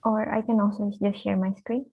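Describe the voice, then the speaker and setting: A young woman from Indonesia, an architecture student, giving an academic presentation over a video call in a soft, hesitant way with many fillers. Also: medium pitch, level tone, moderate pace, gravelly. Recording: tinny phone-like line.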